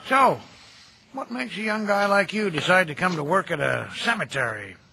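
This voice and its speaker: An actor playing a kindly, folksy older cemetery caretaker in an audio drama, chatty and gentle in a homespun, nostalgic way. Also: male voice, high voice, expressive, moderate pace, vocal fry, noisy background. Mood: friendly. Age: middle-aged. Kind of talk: naturalistic radio drama dialogue.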